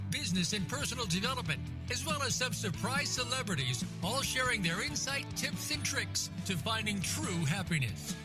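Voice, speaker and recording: A woman reading a scripted promotional introduction as a show announcer. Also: mid-range voice. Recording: voice over loud intro music.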